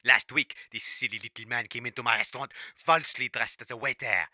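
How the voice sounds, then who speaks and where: A man's voice: mid-range voice, fairly even intonation, a little fast, sharp tone, no echo, clean studio sound. A man playing a haughty, indignant French restaurateur in an audio comedy, pompous and florid in his offence. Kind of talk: audio comedy character acting.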